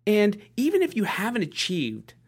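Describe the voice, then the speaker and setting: A man in his late thirties co-hosting a podcast, talking in an earnest, halting way with lots of fillers and restarts. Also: high-pitched, wildly swooping pitch, husky. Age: 30s. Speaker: podcast co-host. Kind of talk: casual motivational podcast chat.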